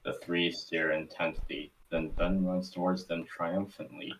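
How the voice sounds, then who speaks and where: A man's voice: low voice, flat delivery, a little slow, fairly bright tone, recorded from a distance, low-quality recording. A man giving scripted narration for an amateur table read.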